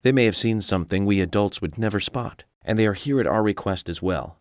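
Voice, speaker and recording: An actor playing a self-assured, coolly analytical male federal investigator in a video game, measured and matter-of-fact as he lectures. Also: fairly low pitch, no room sound, studio quality, natural voice. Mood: firm. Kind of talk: video game cutscene acting.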